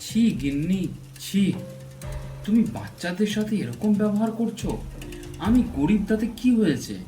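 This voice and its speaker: A man's voice: high-pitched, big pitch swings, moderate pace, warm tone, soft background music. A man voicing a poor village father in a cartoon folk tale, reproachful and protective of his children. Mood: indignant. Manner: reproachful, stern.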